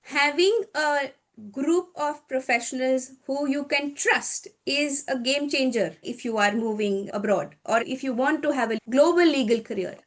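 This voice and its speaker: A woman from India, answering interview questions in a measured, explanatory way; her is Hindi. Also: high-pitched. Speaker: guest, corporate lawyer.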